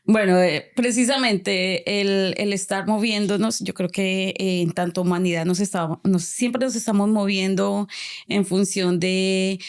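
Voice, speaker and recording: A woman from Colombia, a social sciences doctor speaking as a podcast guest, answering in a thoughtful, measured way with hesitant pauses. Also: mid-range voice, fairly even intonation. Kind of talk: interview answers.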